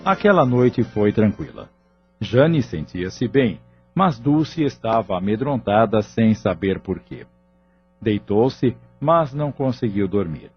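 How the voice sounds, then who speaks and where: A man with a Brazilian accent reading scripted narration for an audio drama, with a husky voice. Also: fairly low pitch, very animated, slow pace, dull audio, soft background music.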